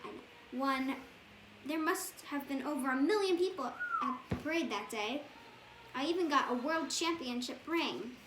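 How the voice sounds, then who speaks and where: A woman with a high-pitched voice reads a children's bedtime story aloud. She goes haltingly, now and then correcting a word. The room is echoey, with faint noise behind her. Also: wildly swooping pitch. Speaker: storybook reader.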